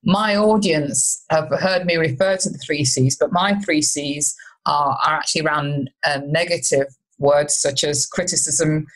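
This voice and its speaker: A woman's voice: sits low, unhurried, poor audio. A woman hosting a relationship podcast, chatting in a friendly way with some restarts. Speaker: podcast host, relationship coach. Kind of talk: casual interview chat.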